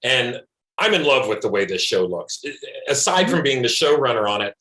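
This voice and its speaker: A man's voice: medium pitch, sing-song, normal speed, pushed and bright. Man talking in an echoey room. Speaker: animation showrunner. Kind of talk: interview talk.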